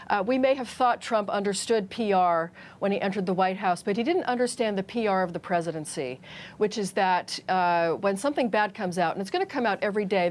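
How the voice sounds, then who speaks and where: A woman's voice: medium pitch, slight background noise. A woman giving live political analysis as a guest on a news panel.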